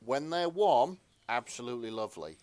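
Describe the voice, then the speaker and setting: A man co-hosting a podcast, chatting casually and jokily in an animated, exclamatory way. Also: medium pitch, moderate pace, smooth, dry recording. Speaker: podcast co-host. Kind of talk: casual comedic chat.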